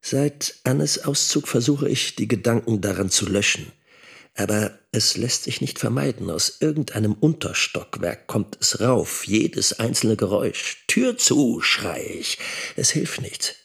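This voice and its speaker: A man's voice: medium pitch, animated, a little slow, gravelly, fairly bright tone, husky, full character voice. An actor playing an abandoned middle-aged schoolteacher and anxious father in a radio drama. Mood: pained, brooding. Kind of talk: radio drama monologue and dialogue.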